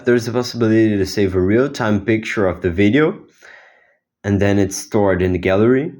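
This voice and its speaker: Low-voiced teenage guy presenting. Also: moderate pace, a little mellow. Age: teens. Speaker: student inventor presenting own project. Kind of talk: explanatory project demo.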